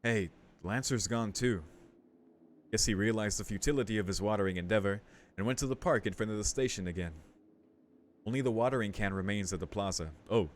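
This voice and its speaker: A man streaming a game, casually commenting and reading text aloud, thinking out loud as he goes. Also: lowish voice, a little fast, a little hiss or hum. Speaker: let's play streamer. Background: game music.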